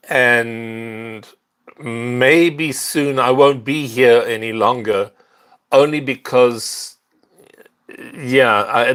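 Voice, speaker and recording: Slow-talking older South African-born man. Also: mid-range voice. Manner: unhurried, earnest, warm greetings to viewers. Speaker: livestream host. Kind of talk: casual livestream chat with viewers.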